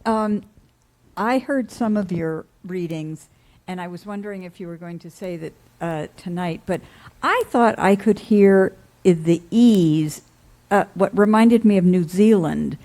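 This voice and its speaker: A woman in the audience asking a question hesitantly, with halting starts. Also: fairly low pitch, warm tone. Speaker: audience member.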